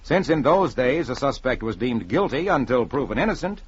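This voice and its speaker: A man narrating a scripted old-time radio story with measured, dramatic delivery on a vintage recording. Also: medium pitch, big pitch swings, slightly rough, highs cut off.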